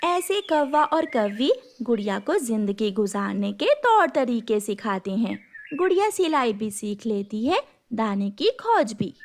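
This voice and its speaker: A woman's voice: on the high side, big pitch swings, unhurried. A woman narrating a scripted cartoon story for the listener.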